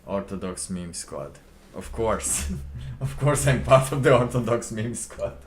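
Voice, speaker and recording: A man live streaming, chatting casually in a halting, rambling way with lots of fillers. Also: mid-range voice, lively intonation, a little hiss or hum. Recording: roomy, distant mic with faint ambience.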